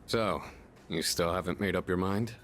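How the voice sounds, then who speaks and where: Lowish-voiced, frank young guy. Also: moderate pace, fairly bright tone, soft background music. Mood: casual probing. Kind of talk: video game voice acting.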